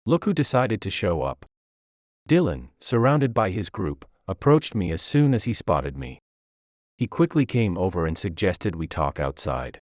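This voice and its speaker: A male narrator reads a first-person story from a script. His voice is low, with lively movement in pitch, and the recording is very dry and close, free of room echo. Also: unhurried.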